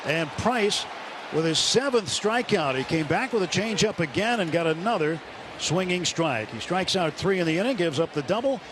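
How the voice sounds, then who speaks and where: A man calling baseball play-by-play on the radio, building excitement on big pitches. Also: normal speed. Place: ballpark broadcast booth. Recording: radio broadcast over loud crowd noise.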